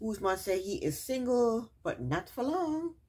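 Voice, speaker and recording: A woman's voice: a little high, sing-song, unhurried, a little mellow, slightly rough. A woman recapping a reality TV episode in a casual, chatty way, with sarcastic asides and repeated punchlines.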